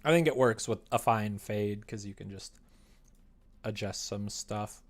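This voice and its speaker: A man's voice: fairly low pitch, measured pace. Young man casually thinking aloud on stream. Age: young adult. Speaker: livestreamer developing a visual novel. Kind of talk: casual livestream chat while working.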